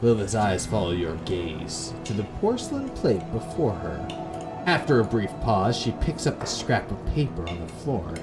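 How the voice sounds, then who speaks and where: A man's voice: on the low side, sing-song, normal speed, over music. A man from Canada reading visual novel narration aloud expressively, with casual gamer reactions. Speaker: gaming video host.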